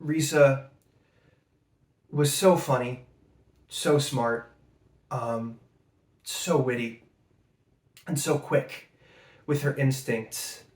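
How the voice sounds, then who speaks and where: A man speaking as a director, giving an earnest spoken introduction and tribute with emphatic, paused phrasing. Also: mid-range voice, fairly even intonation. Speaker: director introducing an audio play. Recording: roomy, echoing sound.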